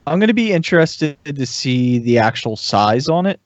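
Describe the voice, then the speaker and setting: A man's voice: fairly high pitch, big pitch swings, moderate pace, dry recording. A man chatting casually as a podcast panelist, loosely phrased and tentative, on a dry, slightly dull recording. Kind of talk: casual hobbyist chat.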